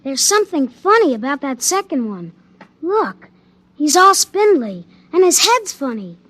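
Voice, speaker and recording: A woman's voice: high voice, sing-song, a little slow, fairly bright tone, heavily acted. A woman playing an earnest, curious young boy, a miner's child, in old-time radio drama. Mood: wary, curious. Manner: earnest, questioning. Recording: vintage radio drama recording.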